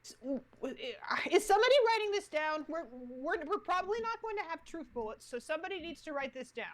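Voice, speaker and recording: Animated high-voiced woman reacting casually. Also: fast. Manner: animated, teasing and chatty. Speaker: reaction show host. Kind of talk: casual reacting chat over a video.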